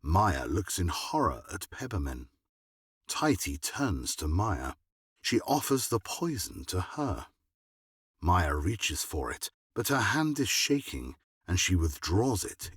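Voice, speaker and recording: Deep, slow, husky male narrator. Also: sing-song, a bit bright, no room sound, studio quality. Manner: measured, dramatic. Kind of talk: scripted dramatic storytelling narration.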